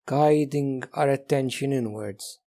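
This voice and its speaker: Man, meditation teacher, very slow pacing, warm relaxed tone, mid-range pitch, gentle delivery, long pauses. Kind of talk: guided meditation.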